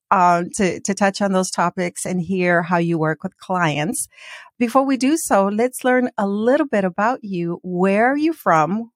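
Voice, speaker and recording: A woman hosting a podcast, asking interview questions in a measured, conversational way. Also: fairly low pitch, no room sound, studio quality. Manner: measured, scripted intro then conversational questions. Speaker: podcast host.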